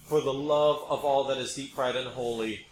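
A man's voice: medium pitch, steady tone, moderate pace. A man hosting a podcast, explaining his opinions casually and emphatically, repeating points for effect. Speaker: podcast host. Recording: roomy echo, background noise.

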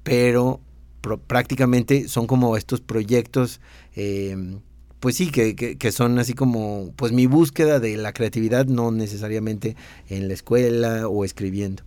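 A man's voice: medium pitch, measured pace, dry recording. Mexican man telling his story, dry sound. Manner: relaxed, rambling with many fillers and restarts. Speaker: designer and teacher. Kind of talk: casual interview.

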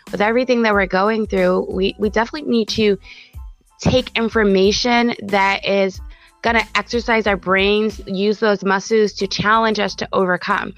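A woman's voice: mid-range voice, steady tone, normal speed, faint music behind. A woman hosting a podcast casually, with an upbeat, motivational and informal way of talking. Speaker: podcast host.

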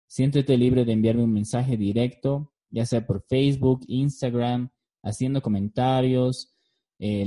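A Bolivian man in his thirties narrates a solo podcast from prepared notes. His voice is very dark and mellow, at an ordinary male pitch. He speaks in an earnest, deliberate way. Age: 30s. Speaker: podcast host.

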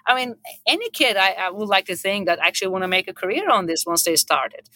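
A woman's voice: medium pitch, animated, fast talker, sharp tone. A middle-aged woman with a Turkish accent speaking as a hotel executive in an unscripted panel discussion, earnest and emphatic, repeating words for emphasis. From Turkey.

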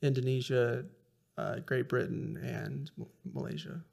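Dry-joking, gravelly young co-host man. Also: mid-range voice, a little slow, darkish tone. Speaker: podcast co-host. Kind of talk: casual chat.

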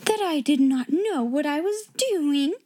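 A woman's voice: fairly high pitch, lively intonation, normal speed, warm tone. A woman reading a storybook in the role of a strict, haughty schoolmistress, imperious and breathless with indignation. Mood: shocked, indignant.

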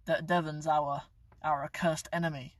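A young man chats loosely to his viewers, joking and correcting himself mid-sentence. His voice sits a little high and slightly husky. It is recorded from a bit away from the mic, dry inside a car. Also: level tone, normal speed, dry recording, far from the mic. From England. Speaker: YouTube channel host. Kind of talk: casual live-stream chat.

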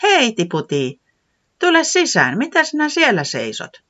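Nainen esittää iloista, vieraanvaraista säämiestä korkealla äänellä tuhkaisessa äänitteessä. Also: female voice, on the high side, wildly swooping pitch, pushed and bright, lo-fi sound, pitched above the performer's own voice, exaggerated voice. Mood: cheerful. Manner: friendly, beaming, hospitable. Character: cheerful hospitable weather man, eager and chatty.

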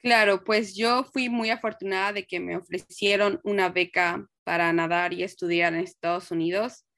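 A woman's voice: on the low side, steady tone, normal speed, a bit bright, no echo. A young woman with a Mexican accent answering interview questions in an earnest, reflective, conversational way, now and then mixing in English words. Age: young adult. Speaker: interview guest, nurse and researcher.

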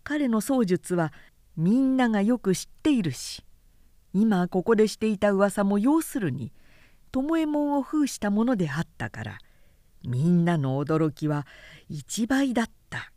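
A woman reads a historical story as narrator. Her pitch is medium and her delivery is measured and carefully articulated. The recording is dry, with little room sound. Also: expressive, dark tone, no echo. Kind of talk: scripted literary narration.

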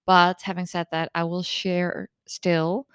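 A hoarse woman in her thirties who has lost her voice, a coach hosting her own podcast in a candid, chatty way. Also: fairly low pitch, flat delivery, slow, fairly bright tone, dry recording, studio quality. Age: 30s. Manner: candid, chatty, open-book. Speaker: podcast host and eating disorder recovery coach. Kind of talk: casual solo podcast monologue.

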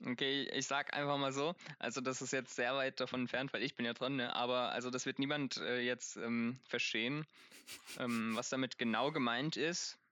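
A man's voice: medium pitch, fairly even intonation, normal speed, sharp tone, dry recording, highs cut off. A man co-hosting a casual podcast, giggly and hesitant, with lots of fillers. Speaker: podcast co-host.

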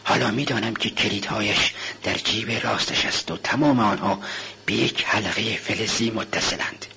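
A man's voice: mid-range voice, very animated, background noise, natural voice. A man doing a nervous, scheming young student. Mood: brooding whisper. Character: anxious, scheming poor young male student. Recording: dull lo-fi recording.

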